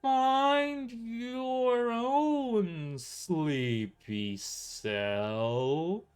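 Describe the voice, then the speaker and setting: A man's voice: high voice, extremely slow, smooth, voice pushed up. A man voicing a large, sleepy, dim-witted burly man with a grumpy drawl in an audio story. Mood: groggy annoyance.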